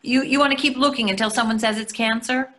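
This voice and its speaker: A woman's voice: fairly high pitch, steady tone, fast talker, fairly bright tone, natural voice. A woman in her sixties playing a practical, self-deprecating, reassuring wife in a play reading over a video call, dry and matter-of-fact. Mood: defensive. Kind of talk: readers theatre play reading. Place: plain room indoors. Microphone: computer mic on a video call.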